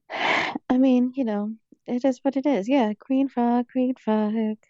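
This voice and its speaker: A woman in casual bedtime chat on a call, giving short, deadpan, drowsy replies. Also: fairly high pitch, takes it slowly, dry recording. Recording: dull lo-fi call audio.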